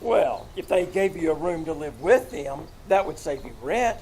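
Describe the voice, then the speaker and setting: Actor as a smooth, reasonable peacemaker in a radio comedy, mid-range voice. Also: mid-range voice, moderate pace, a crowd in the background, lightly acted. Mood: coaxing. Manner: calm, coaxing peacemaker. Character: smooth, reasonable male family friend. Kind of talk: 1940s radio comedy acting, live on stage. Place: small theater stage, live performance.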